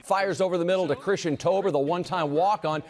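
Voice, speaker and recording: A man anchoring a local TV sports desk, reading highlights in a brisk, punchy manner. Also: a little mellow. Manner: brisk, punchy play-by-play delivery.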